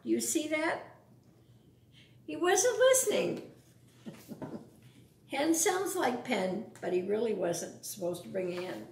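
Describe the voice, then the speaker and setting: A woman's voice: a little high, animated, takes it slowly, vocal fry, long pauses, a little mellow, roomy sound, a little hiss or hum. A woman reading a picture book aloud to young children, adding casual explanations and questions. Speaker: storytime reader.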